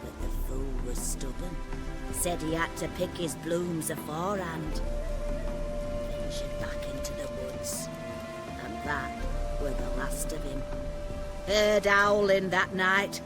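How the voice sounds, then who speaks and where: A woman's voice: low-pitched, slow pace, long pauses, over loud music, low-quality recording. A woman playing a plain-spoken rustic villager in a video game, telling things in a folksy, ominous way. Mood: grim, wary.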